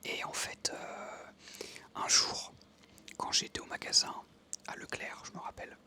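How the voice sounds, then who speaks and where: A young man from France in his twenties, telling relaxed stories in a soft, unvoiced ASMR whisper while he plays a game, with many pauses and fillers. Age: 20s. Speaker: ASMR creator. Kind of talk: whispered ASMR storytelling over gameplay.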